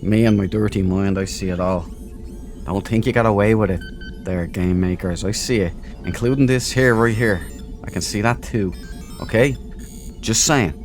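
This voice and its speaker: Low-voiced man doing casual game commentary. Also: moderate pace, soft background music. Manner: jokey, cheeky, thinking aloud. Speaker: let's play host.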